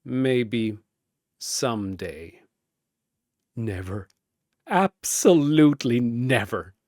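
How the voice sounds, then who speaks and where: A man acts out a timid hero from a fantasy battle story. He hides panic behind a stoic front and sounds hesitant and subdued. His words come slowly, in a dry recording. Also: mid-range voice, expressive, darkish tone, no echo.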